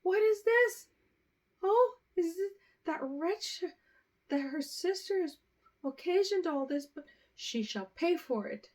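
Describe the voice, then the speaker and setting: A woman voicing a greedy, excitable middle-aged mother with loud, shrill exclamations. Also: slow, exaggerated voice. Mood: shrill excited.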